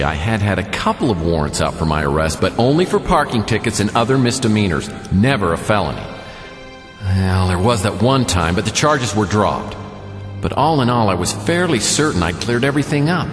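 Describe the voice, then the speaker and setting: An actor playing a wisecracking, self-pleased private eye in a comic noir radio drama, wry and sarcastic. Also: male voice, deep voice.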